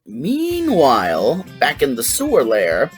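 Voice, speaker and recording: Animated storybook narrator. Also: medium pitch, slow pace, faint music behind.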